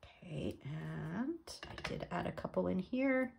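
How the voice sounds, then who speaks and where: A woman's voice: lowish voice, animated, unhurried, vocal fry, a little mellow, slight background noise. A woman from Canada explaining a card-making project step by step while she works, a little stuffed up from a cold. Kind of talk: crafting tutorial.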